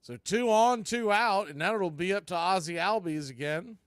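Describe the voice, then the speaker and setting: A man's voice: sits high, moderate pace, clear, resonant tone, no echo, studio quality. A man doing live baseball play-by-play as a stream host, mixing clipped calls with folksy, joking asides and casual chat.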